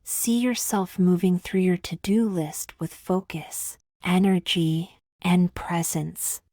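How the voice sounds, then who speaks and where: Slow, low-voiced woman guiding meditation. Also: steady tone, dry recording, clean studio sound. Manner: soothing, gentle, measured with long pauses. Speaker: meditation guide. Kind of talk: guided meditation, scripted.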